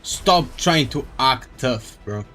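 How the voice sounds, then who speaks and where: A man chats casually over a game stream with music softly underneath. He talks at an unhurried pace with lots of pitch movement, tossing out slangy, crude jokes. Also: expressive. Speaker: livestreamer. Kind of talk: casual game livestream commentary.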